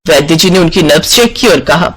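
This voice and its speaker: A man narrating a scripted animated moral story as its storyteller. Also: fairly high pitch, brisk.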